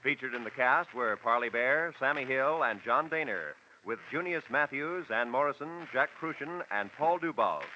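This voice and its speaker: A man's voice: medium pitch, animated, moderate pace, few pauses, bright, forceful tone, slight background noise. An American man doing polished, emphatic scripted announcing in the style of 1940s network radio. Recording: muffled vintage radio recording.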